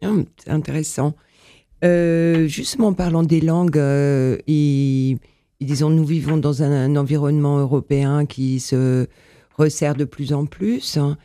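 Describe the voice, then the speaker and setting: A male interviewer asks long questions, pausing as he searches for words. His voice is very dark and gravelly, recorded dry and close, with no room sound. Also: fairly high pitch, steady tone, dry recording. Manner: measured, hesitant questioning.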